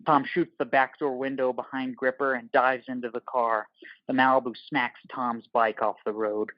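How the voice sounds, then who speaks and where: A man's voice: medium pitch, little pitch movement, normal speed, no room sound, dull audio. A man narrating a scripted audio play in the role of narrator.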